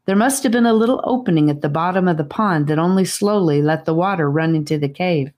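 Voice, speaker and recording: Female audiobook narrator, low voice, scripted children's fantasy storytelling. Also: a little mellow.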